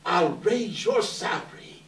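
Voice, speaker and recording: Man acting an exuberant old gentleman, swooping delivery. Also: sits high, expressive, slow pace, exaggerated voice. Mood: jubilant. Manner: exclaiming, chuckling, theatrical. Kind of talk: readers theater stage acting. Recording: echoey hall, distant microphone.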